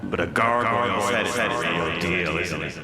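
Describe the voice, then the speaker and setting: An actor playing a menacing, cruel and unhinged villain in an audio drama, with a halting, obsessive way of repeating himself. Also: male voice, sits low, over a noisy crowd. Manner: halting, obsessive repetition of words. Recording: clear background noise.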